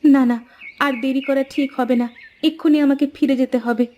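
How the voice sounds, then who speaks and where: A woman's voice: high voice, steady tone, brisk, dark tone, highs cut off, slight background noise, close to an everyday voice. A woman playing a devoted, brave young village wife in a cartoon moral tale, speaking in an earnest, dramatic way. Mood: anxious, searching. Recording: music under the voice.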